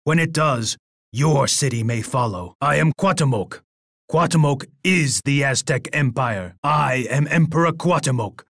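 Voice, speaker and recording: A menacing Aztec emperor from a video game, in a medium-pitched male voice. He is pompous and boastful, delivering each line as an imperious, dramatic pronouncement. The sound is close and dry. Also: measured pace, gravelly, fairly bright tone, no echo. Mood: grave, urgent. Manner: pompous, speaks of himself in the third person.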